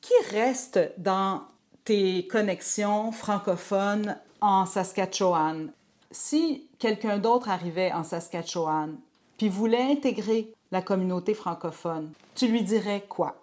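A woman's voice: mid-range voice, slow pace, a little mellow. A woman hosting an interview, asking chatty questions and affirming her guest. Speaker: podcast host, interviewer. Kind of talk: interview conversation.

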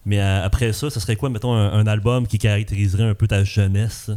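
A man's voice: on the low side, steady tone, fast talker, warm tone. A man with a Québécois accent hosting a podcast interview, asking questions in a chatty, hesitant way with many fillers. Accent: Québécois French. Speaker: podcast host. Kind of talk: casual interview.

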